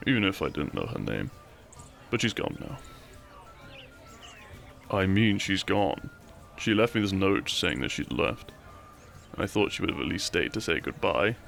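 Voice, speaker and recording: A man playing a lovestruck, cheeky young bandit outlaw in an intimate romantic audio roleplay, soft and conversational, with a self-deprecating streak. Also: low voice, creaky, full character voice. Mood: wistful, downcast. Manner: soft, conversational, with sighs and chuckles.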